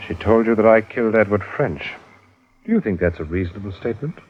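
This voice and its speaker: An actor plays a defensive planter who pushes back quickly and curtly. His voice is very deep, and it comes through a dull old radio broadcast with faint noise behind it. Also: animated, natural voice. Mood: confrontational. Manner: curt and challenging. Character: cold, defensive male planter suspect. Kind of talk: 1950s radio drama acting.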